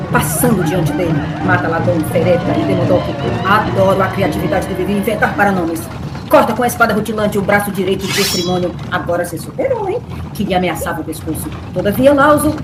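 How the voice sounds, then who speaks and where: A woman's voice: medium pitch, background noise. Playful woman narrator with echo. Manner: playful, theatrical. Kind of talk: dramatic scripted narration with wry asides. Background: music.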